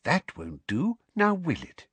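Guy doing a prim girly lady teacher, high and sugary, condescending, sing-song pitch, storybook. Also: huge pitch swings, takes it slowly, fairly bright tone, breathy edge, no echo, exaggerated voice. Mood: sweetly condescending. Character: sickly-sweet, strict, girlish middle-aged woman teacher.

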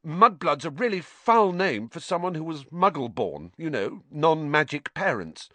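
A man reading an audiobook, voicing a hoarse, weak schoolboy. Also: on the high side, very animated, measured pace, bright, forceful tone, no room sound, pitched above the performer's own voice, heavily acted. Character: boy, sick schoolboy coughing up slugs.

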